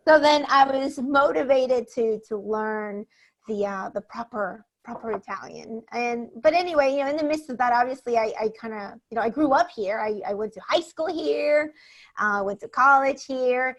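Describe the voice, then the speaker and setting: A woman from Venezuela whose first language is Spanish, talking on a podcast. Her voice sits a little high, and she tells her story in an animated way, laughing often and acting out what people said. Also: moderate pace, dull audio. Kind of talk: casual storytelling chat.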